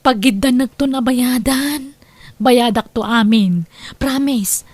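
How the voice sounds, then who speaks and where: A woman plays a scheming wife with a high, bright-toned voice. She wheedles and pushes, always angling for another loan. The acting is plain and everyday, as in a spoken audio drama. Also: slow pace, no echo. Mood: wheedling. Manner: wheedling, pushy. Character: scheming wife, a grown woman who keeps borrowing money. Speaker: lead character Amy.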